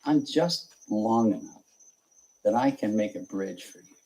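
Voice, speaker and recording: A man's voice: on the low side, lively intonation, measured pace, mellow, poor audio. A man voicing a helpful talking straw in a folk tale, plain and matter-of-fact. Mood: earnest.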